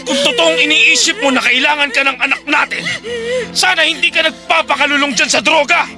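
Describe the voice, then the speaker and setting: An actor playing an angry, exhausted, protective father in a Filipino radio drama, forceful and confrontational. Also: very high-pitched, expressive, brisk, over music. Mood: angry, anguished.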